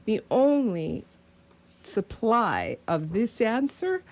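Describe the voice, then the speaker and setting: A woman playing a grave, insistent male professor in a radio play, with deliberate, formal phrasing. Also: on the high side, very animated, darkish tone, exaggerated voice. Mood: grave, ominous.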